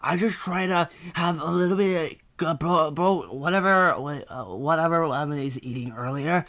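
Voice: mid-range voice, normal speed, a bit bright, pitched below the performer's own voice, lightly acted. An amateur voice actor playing an offended, dismissive French character who rambles on about love. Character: offended French lover-boy, defensive, lazy, rambling.

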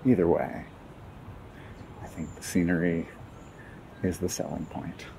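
A man giving a casual walking tour outdoors in a city park, with relaxed, wry asides to viewers. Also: sits low, unhurried, vocal fry, noisy background. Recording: faint outdoor ambience.

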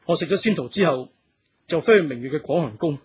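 An actor playing a loyal, earnest younger sworn brother in a radio drama. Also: male voice, on the high side, expressive, fast, breathy edge. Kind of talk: Cantonese radio drama acting.

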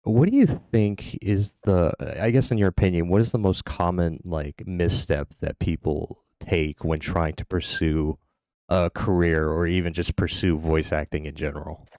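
Very deep-voiced male podcast host. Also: normal speed, no room sound. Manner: relaxed, conversational questioning. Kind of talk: casual interview questions.

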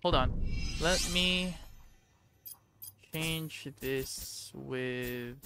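A man's voice: medium pitch, drawn-out words. A man giving casual let's play commentary, muttering to himself and repeating words as he plays. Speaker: gaming video creator. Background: game music.

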